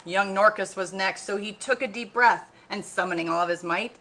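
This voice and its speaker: A woman reading a picture book aloud as a teacher, expressive and instructive, often pausing to put questions to listeners. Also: medium pitch. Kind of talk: read-aloud lesson for children with questions to listeners.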